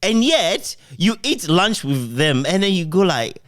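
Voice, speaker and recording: Very animated, jovial man. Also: high voice, unhurried, no room sound, studio quality. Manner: jovial, chuckles often while talking. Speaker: radio broadcaster in conversation. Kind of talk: casual radio chat.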